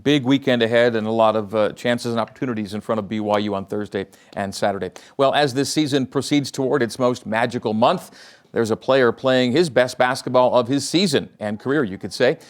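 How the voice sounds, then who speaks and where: A man hosting a sports show, asking questions and reading smooth, scripted lead-ins. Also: mid-range voice, fairly quick. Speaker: show host. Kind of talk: broadcast sports interview and narration.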